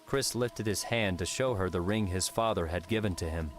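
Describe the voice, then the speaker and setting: Casting: male narrator for adventure fiction audiobook, very low voice, soft music underneath. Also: moderate pace.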